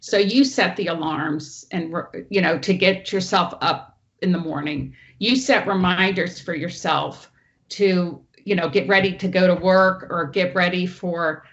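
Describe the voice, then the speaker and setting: A woman presenter with a loud teacher voice, giving an online training talk and walking through each slide. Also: lowish voice, a little slow, muffled recording. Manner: explains clearly, walks through each slide. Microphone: online meeting audio.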